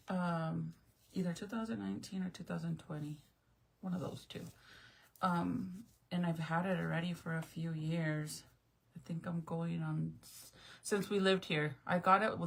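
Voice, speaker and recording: A woman's voice: lowish voice, vocal fry, slight background noise. A woman in her late thirties chatting casually and frankly with livestream viewers, rambling from topic to topic. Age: 30s. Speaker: livestream host.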